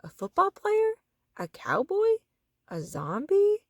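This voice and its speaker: An excitable little boy frog from a storybook, played by a woman, dramatic and full of imagination. The voice swoops widely and stretches words out theatrically. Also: extremely high pitch, slow, dry recording, studio quality, pitched above the performer's own voice, full character voice. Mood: excited, dreamy.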